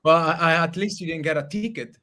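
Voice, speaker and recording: A man's voice: a little high, fast talker, pushed and bright. A man from Italy with an Italian accent, chatting as a friendly guest on a trading show. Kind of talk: casual interview chat.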